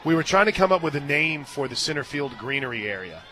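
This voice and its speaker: A man calling softball play-by-play on the radio, chatting casually between pitches and lifting with excitement on big plays. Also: quick pace. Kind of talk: live softball commentary with casual booth chat. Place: stadium radio booth.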